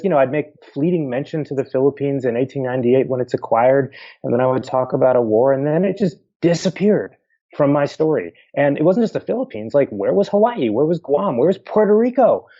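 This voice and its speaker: A man's voice: medium pitch, mellow, muffled recording. A middle-aged man with a Pennsylvania accent, a historian answering interview questions in a thoughtful, explanatory way with informal asides. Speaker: historian guest.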